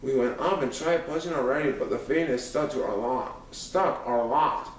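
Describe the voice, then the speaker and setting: A man's voice: medium pitch, moderate pace, slight background noise, lightly acted. A man voicing a sarcastic, taunting teenage boy in a storybook reading. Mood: plain explaining. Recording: echoey room, far from microphone.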